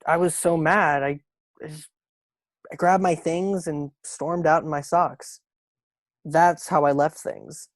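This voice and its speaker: A man's voice: fairly high pitch, fairly even intonation, takes it slowly, pauses often, no echo. An actor playing a dejected, fearful young man of a theatre troupe in an audio drama. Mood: upset, despondent.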